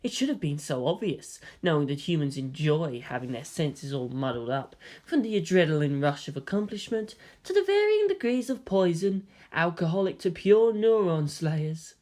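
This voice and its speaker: A performer voicing a smug, soft-spoken inhuman young male schemer in a story reading, silky, condescending and given to lecturing. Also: mid-range voice, very animated, moderate pace, few pauses, natural voice. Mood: musing. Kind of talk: dramatic fan fiction reading.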